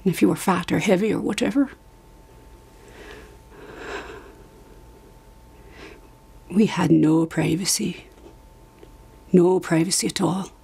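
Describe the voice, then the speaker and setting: A woman acting out a dramatized monologue as an anguished asylum survivor recalling her past, halting, with emphatic repetitions. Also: lowish voice, steady tone, gravelly, dark tone, husky, full character voice. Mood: hurt, despairing. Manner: halting, emphatic repetition, sudden drops to whisper. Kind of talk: dramatized monologue, confessional testimony.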